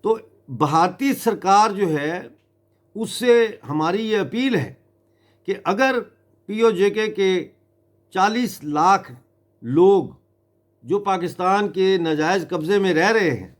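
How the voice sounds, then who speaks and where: This is a man. He delivers a political appeal in a grave, deliberate way. He breaks his sentences with emphatic pauses, as an activist addressing a government would. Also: sits high, animated. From Mirpur in Kashmir.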